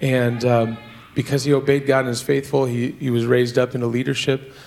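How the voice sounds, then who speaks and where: A man on a church stage, hoarse from days of yelling, giving a halting update full of ums and uhs. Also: medium pitch, flat delivery. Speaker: church volunteer leaving to train as a sheriff.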